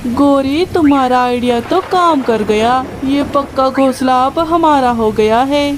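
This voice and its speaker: A woman's voice: sits high, a little slow, noisy background. A woman voicing a grumbling, sarcastic male crow husband in a children's cartoon. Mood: pleased. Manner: complaining, sarcastic. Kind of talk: Hindi children's cartoon dialogue.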